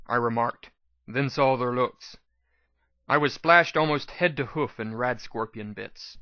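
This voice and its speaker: A man's voice: mid-range voice, no echo, highs cut off. A man reading a scripted first-person story aloud as a dramatic audiobook narration. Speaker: audiobook reader.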